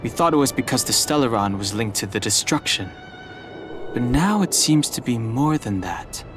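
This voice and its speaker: A serious young male companion voiced by an actor with a medium-pitched voice. He is composed and explains things in measured, matter-of-fact terms, anime game style. Prominent music runs underneath. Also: animated, natural voice.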